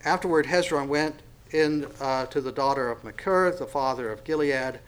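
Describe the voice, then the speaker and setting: A man in his sixties leads an informal Bible study, speaking at an average pitch. He talks in a folksy, self-deprecating way, often restarting sentences and filling pauses with "uh.". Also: clear, resonant tone, poor audio. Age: 60s. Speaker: pastor.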